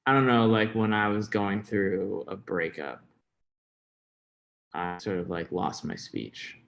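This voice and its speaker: A young American man speaks in a low-pitched voice with noticeable fry. He stutters and hesitates, repeating words. His delivery is informal and candid, as a guest being interviewed. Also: takes it slowly, a bit bright. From Florida, United States. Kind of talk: candid personal interview talk.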